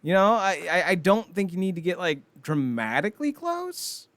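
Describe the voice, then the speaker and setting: A man's voice: high-pitched, sing-song, normal speed, fairly bright tone, no room sound. A man co-hosting a casual pop-culture podcast, rambling and swearing freely as he chats. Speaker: podcast co-host.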